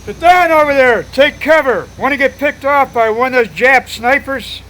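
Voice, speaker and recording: A man playing a gruff WWII Marine officer barking orders in a radio-play battle scene. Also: very high voice, normal speed, sharp tone. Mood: commanding. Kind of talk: 1940s radio acting.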